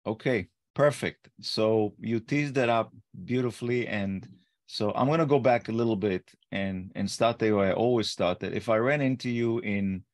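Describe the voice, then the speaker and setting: Low-voiced podcast host, dry sound. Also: male voice, normal speed, no room sound. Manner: rambling, rephrases questions. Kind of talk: interview questions. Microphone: video call.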